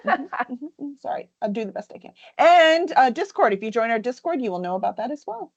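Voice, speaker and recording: A woman's voice: a little high, animated, fairly quick. A woman hosting a casual interview, chatty and enthusiastic with many fillers, talking over a video call. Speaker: publisher's show host. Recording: dull, dry lo-fi sound.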